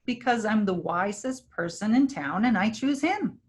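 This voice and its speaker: A woman's voice: mid-range voice, lively intonation, normal speed, lightly acted. A woman playing a breezy, self-assured village elder in a staged play reading, dispensing prophecy like a storyteller. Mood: solemn, assured.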